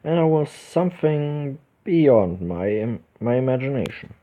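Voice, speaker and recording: A man reading a visual novel's narration aloud, slowly and with small stumbles and restarts. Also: mid-range voice, big pitch swings, dark tone. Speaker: let's play host. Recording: dull lo-fi recording with game music behind.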